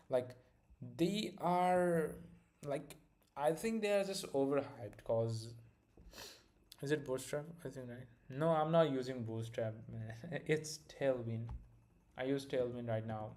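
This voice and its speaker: A man's voice: mid-range voice, a little mellow. A young man with an Indian accent, hosting a live stream and chatting loosely with many fillers while demoing a product. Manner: loose, filler-heavy, restarts often. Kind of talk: casual live-stream chat and product demo.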